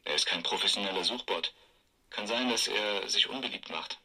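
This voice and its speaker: An actor playing an eccentric, scheming computer genius in a radio drama, with an odd, emphatic delivery. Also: male voice, lowish voice, moderate pace, bright, forceful tone, husky, exaggerated voice, poor audio. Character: eccentric male computer genius devoted to his guru. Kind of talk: German radio drama acting.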